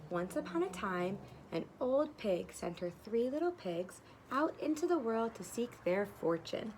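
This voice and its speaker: A woman's voice: a little high, big pitch swings, measured pace, a little mellow. Female kindergarten teacher, simple explanatory read-aloud for small children, porch setting with light ambient noise. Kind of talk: read-aloud of a picture book for young children. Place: outdoors on a porch.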